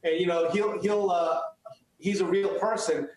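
Male interview guest, native Chinese speaker, earnest with frequent restarts, slightly high voice, very echoey room. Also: steady tone, measured pace, crowd noise. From United States. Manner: earnest, many restarts and fillers. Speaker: guest, photographer. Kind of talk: interview answers.

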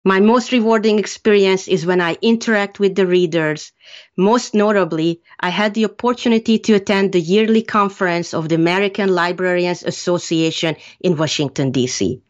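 A woman from Hungary, an author answering interview questions in a measured, earnest way. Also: fairly low pitch. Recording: muffled lo-fi sound.

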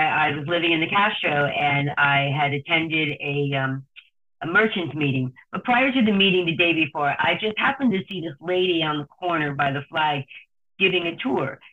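New Jersey woman on a phone line. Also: low-pitched. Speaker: tour company owner, guest. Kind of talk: interview answers.

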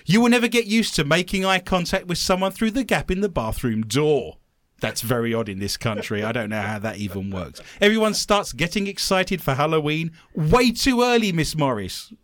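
A man from London with an English accent, hosting a radio talk show in a chatty, animated way and laughing often. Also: a little high, normal speed, no echo, clean studio sound. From London, England.

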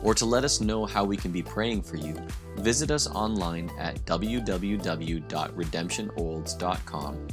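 A man reading a formal scripted church announcement at a measured pace. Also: sits low, over music.

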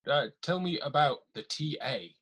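A man interviewing a guest in a relaxed, friendly way. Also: on the high side, moderate pace, breathy edge. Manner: easygoing, calls guest mate. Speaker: podcast interviewer. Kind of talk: casual interview chat.

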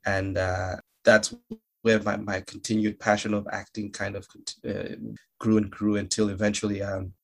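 A young man from Malawi talks as an interview guest in a loose, joking way. He laughs easily, restarts his sentences often, and speaks in a somewhat low voice. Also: little pitch movement, normal speed. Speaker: actor and guest. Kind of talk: casual interview chat.

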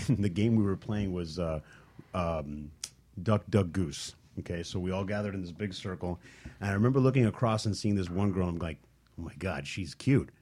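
Husky deep-voiced male co-host. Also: normal speed, darkish tone. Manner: laid-back storyteller, chuckles often. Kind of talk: casual radio talk show chat.

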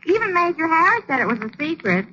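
An American actress playing a scatterbrained, cheerfully illogical wife in a 1940s radio sitcom, explaining things with naive earnestness. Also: female voice, very high-pitched, big pitch swings, quick pace, sharp tone, heavily acted. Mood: earnest, muddled. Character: scatterbrained, cheerfully illogical married woman bus driver. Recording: dull, noisy old radio recording.

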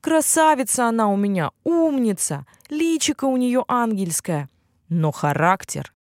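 A fairy-tale king who dotes on his child and is at his wits' end, put on by a woman narrator. He speaks fondly and pleadingly, with an expressive, changing pitch. The recording is dry. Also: measured pace, no echo. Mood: fond, despairing.

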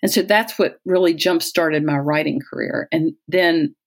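A woman's voice: deep voice, moderate pace. An American woman in her sixties from Southwest Virginia, telling personal stories conversationally, as if in an interview, often quoting people she has known. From Southwest Virginia, United States. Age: 60s. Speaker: interviewee, retired-age physician and poet.

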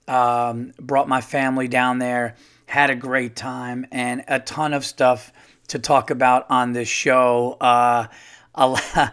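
A middle-aged man, a comedian hosting his own podcast, chatting casually and rambling with lots of fillers, his voice a little hoarse from touring. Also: medium pitch, a bit bright. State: slightly hoarse, losing his voice. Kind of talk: casual solo podcast monologue.

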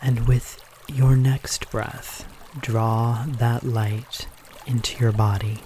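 A man leading a guided meditation in a gentle, unhurried manner, leaving pauses between short phrases. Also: mid-range voice, flat delivery, a little hiss or hum.

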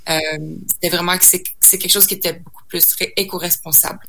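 A woman's voice: on the low side, level tone, fast talker, sharp tone, slight background noise. A young woman from Saskatchewan, a singer guest in a casual interview, talking hesitantly with many fillers and restarts and slipping in English words. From Saskatchewan, Canada.

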